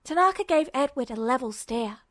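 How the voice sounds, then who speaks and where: Woman reading a fanfic out loud, high voice, steady, super dry close sound. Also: brisk, dry recording. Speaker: fanfiction reader. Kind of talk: podfic narration.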